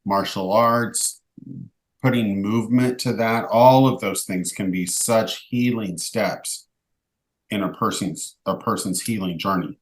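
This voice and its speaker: A man's voice: lowish voice, unhurried, low-quality recording. A man giving earnest, encouraging advice as a co-host in an online panel, heard over a video call.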